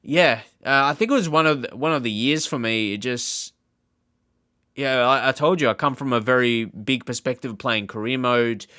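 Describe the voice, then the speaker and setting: A man hosting a gaming channel, giving casual, off-the-cuff reaction commentary in a rambling, halting, informal way. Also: medium pitch, sharp tone, dry recording, clean studio sound.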